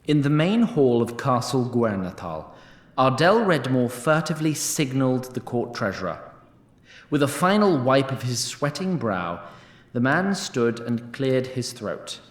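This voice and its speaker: Male narrator, lively varied intonation, wry literary storytelling, theatrical, echoey sound. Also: mid-range voice, measured pace.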